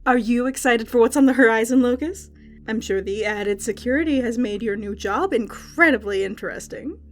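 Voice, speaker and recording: A woman acting a high-voiced, cheeky fight announcer. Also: normal speed, natural voice. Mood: teasing. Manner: teasing, flippant, defensive. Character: cheeky, evasive female announcer of underground fights. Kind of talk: audio drama acting. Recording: music under the voice.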